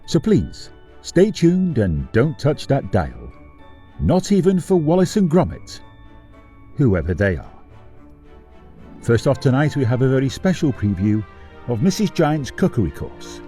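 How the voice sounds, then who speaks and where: Male actor as fairytale channel announcer, gushing, theatrical, mellow tone, very animated pitch, comic continuity links. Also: measured pace, long pauses, faint music behind. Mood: gushing, teasing. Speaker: presenter linking the monologues.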